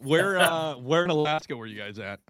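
A man's voice: a little high, lively intonation, normal speed, a bit bright, no echo. A man co-hosting a podcast, blunt and crude, roasting the others in casual banter. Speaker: podcast co-host.

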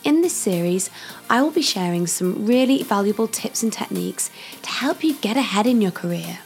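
Chatty woman podcast host, slightly croaky. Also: medium pitch, lively intonation, normal speed, soft background music. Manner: chatty and upbeat, laughs often, talks straight to the listener. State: getting over a cold, slightly croaky. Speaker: podcast host and career coach. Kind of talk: casual solo podcast monologue.

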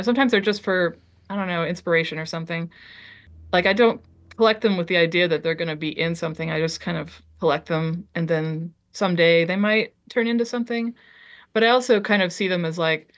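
A woman artist chatting casually as an interview guest, hesitant and full of ums, trailing off her sentences. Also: fairly low pitch. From Liberia.

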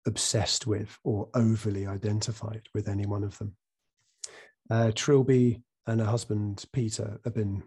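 A man hosts a podcast in a relaxed, hesitant way, his voice croaky from a recent cold. He fills gaps with ums and often restarts his sentences. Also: lowish voice. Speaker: podcast host. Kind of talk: casual interview.

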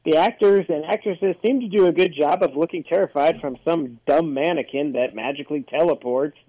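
A man reading trailer summaries on a casual panel podcast and cracking sarcastic jokes about them. His voice sits a bit high, and the recording is dry with no room sound. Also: a little fast, dark tone, dry recording, poor audio. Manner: sarcastic and jokey.

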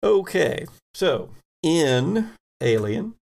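A man works as game master for a tabletop role-playing game. His delivery is slow and halting, with a creaky edge to the voice and a descriptive narrating style. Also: on the high side, very animated, a little mellow.

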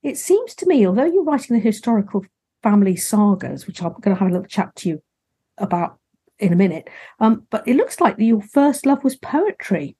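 Husky-voiced woman hosting. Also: mid-range voice, very animated, fairly quick, dark tone. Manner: friendly, rambling, many fillers. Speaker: podcast host. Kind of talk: casual interview chat.

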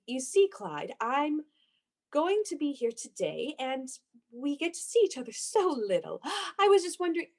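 A woman playing a lovesick, obsessive jilted young woman in a melodramatic radio play, halting and pleading. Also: high voice, exaggerated voice. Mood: anxious, heartbroken.

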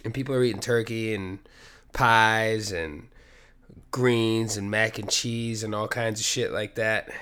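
Sniffly low-voiced man, rambling podcast host. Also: sharp tone. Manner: rambling, profane, self-deprecating. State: sick with a cold, sniffly. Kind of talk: casual solo podcast monologue. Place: home apartment.

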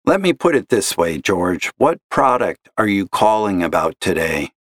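A man's voice: low-pitched, big pitch swings, normal speed, a bit bright, no echo, studio quality, heavily acted. A man voicing a polite, chipper customer service agent who stays patient with a difficult caller. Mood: chipper, strained. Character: upbeat young male customer service agent. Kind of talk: audio story reading.

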